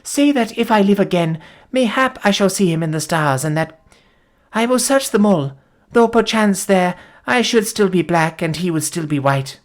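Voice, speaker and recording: A woman's voice: mid-range voice, lively intonation, moderate pace, pitched above the performer's own voice, natural voice. A woman voicing a devoted young woman who is dying, speaking tenderly in faint, gasping breaths. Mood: tender, fading. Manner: gasping, tender, archaic formal speech.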